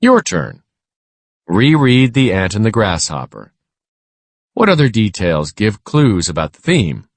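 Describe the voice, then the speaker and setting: A man reading a scripted children's lesson aloud in a measured, instructional way. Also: on the low side, very animated, dry recording.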